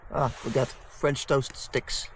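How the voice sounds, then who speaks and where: A man's voice: medium pitch, fairly even intonation, takes it slowly, slight background noise. A man chatting casually as he vlogs from an outdoor winter camp. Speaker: outdoor vlogger. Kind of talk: casual camping vlog chat.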